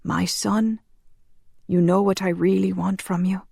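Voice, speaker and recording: Narrator putting on a weak, gentle dying old priest. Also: mid-range voice, level tone, measured pace, creaky, slightly rough, dry recording, studio quality, pitched above the performer's own voice, exaggerated voice. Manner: weak, kindly, resigned.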